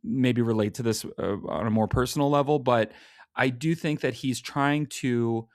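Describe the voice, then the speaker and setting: A man co-hosting a podcast, chatting casually and thinking aloud in a halting way. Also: medium pitch, no echo, studio quality. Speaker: podcast co-host. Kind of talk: casual comic book discussion.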